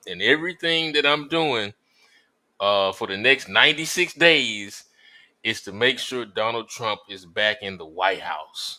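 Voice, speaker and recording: American guy, lowish pitch but super sharp tense tone, casual, sure of himself. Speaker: guest, minister and political strategist. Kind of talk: casual panel talk.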